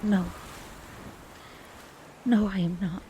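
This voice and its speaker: Woman acting protective magic mom, tense n direct, medium pitch, audio drama, bit of bg noise. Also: steady tone, drawn-out words, mellow, rough recording. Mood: tense, defiant. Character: protective, urgent mother who works magic.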